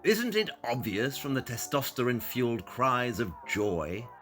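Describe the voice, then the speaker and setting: This is a sharp-toned, aloof detective, as a man plays him in an audio drama. He talks in clipped, condescending lines with a lively, swinging pitch. Faint piano music plays behind him. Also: unhurried. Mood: impatient. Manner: curt, condescending, precise and clipped. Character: cold, brilliant male detective, aloof and impatient.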